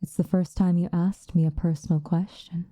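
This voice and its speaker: Woman playing a dark, hushed, seductive vampire. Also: fairly low pitch, little pitch movement, no room sound, clean studio sound, natural voice. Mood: teasing, flattered. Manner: soft, breathy, drifting into whispers, unhurried. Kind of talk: ASMR roleplay, intimate confessional monologue. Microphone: close microphone, dry.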